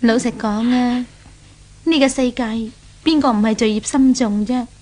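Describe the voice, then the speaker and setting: A woman plays an easygoing, encouraging female companion in a 1970s radio drama. The voice sits a little high and talks in a chatty, persuasive way. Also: normal speed, warm tone, close to an everyday voice.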